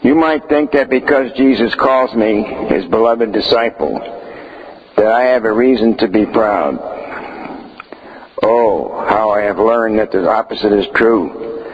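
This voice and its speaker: Guy as a humble devoted disciple, solemn and deliberate, church play, dull audio w slight noise. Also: mid-range voice, natural voice. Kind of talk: church stage monologue.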